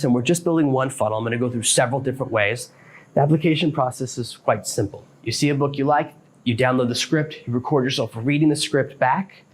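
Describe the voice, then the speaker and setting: Matter-of-fact man giving practical advice. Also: mid-range voice. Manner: practical, matter-of-fact, self-employed author explaining step by step. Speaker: podcast host. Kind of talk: casual advice monologue.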